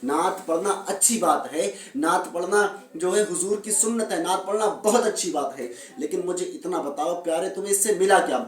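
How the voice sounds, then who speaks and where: Echoey, higher-pitched man, earnest. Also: brisk, few pauses. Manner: earnest, repeats phrases for emphasis. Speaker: Islamic religious commentator addressing viewers. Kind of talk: informal monologue of religious commentary and admonishment. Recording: echoey room, distant microphone.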